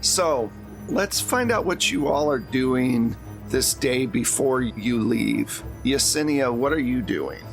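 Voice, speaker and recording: A man's voice: medium pitch, lively intonation, slow, creaky, faint music behind. A man hosting and narrating a tabletop role-playing game in an informal, conversational way as game master.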